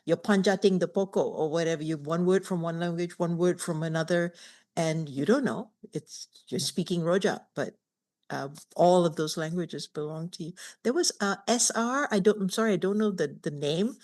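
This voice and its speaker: A woman's voice: lowish voice, moderate pace, darkish tone. A woman poet from Malaysia telling a story of her childhood in a reflective way, speaking on a video call. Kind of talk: casual chat.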